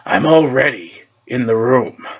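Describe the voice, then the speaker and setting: A man voicing a mysterious, brooding and theatrical vigilante with a slow, ominous delivery, reading a superhero story. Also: mid-range voice, animated, husky, muffled recording.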